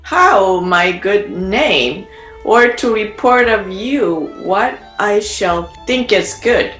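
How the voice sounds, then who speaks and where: A woman reading a pert, wary lady-in-waiting in an amateur Shakespeare play, formal and deliberate, in an echoing room over a video call. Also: mid-range voice, expressive, fairly bright tone, faint music behind. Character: pert, wary lady-in-waiting guarding her mistress's door.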